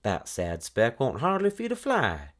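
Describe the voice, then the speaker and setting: A man's voice: mid-range voice, wildly swooping pitch, a little slow, a bit bright, pitched above the performer's own voice. A man voicing a spoiled, scornful girl in a children's storybook, whining in a folksy rural dialect. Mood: cross.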